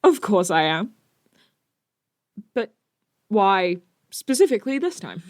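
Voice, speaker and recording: A woman's voice: mid-range voice, big pitch swings, takes it slowly, darkish tone, no echo, clean studio sound. A woman playing a brainy, self-assured teenage superhero with a friendly, nerdy manner in an audio drama. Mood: excited, thinking aloud.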